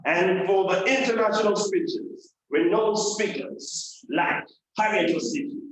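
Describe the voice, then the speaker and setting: A man's voice: sits high, a little slow, a little hiss or hum, pitched above the performer's own voice. A man with a Ugandan accent putting on a grand, theatrical movie trailer announcer in a live parody. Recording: very echoey room, distant microphone.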